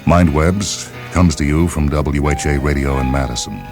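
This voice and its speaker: Story narrator: American male, profoundly deep, measured and solemn, music underneath. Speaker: narrator and host. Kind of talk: dramatic scripted story narration. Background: music under the reading.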